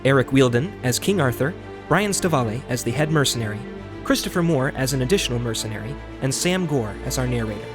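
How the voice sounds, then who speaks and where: Guy announcing the credits for an audio drama, medium pitch, scripted read, music playing behind him. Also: expressive, quick pace. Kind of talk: scripted narration.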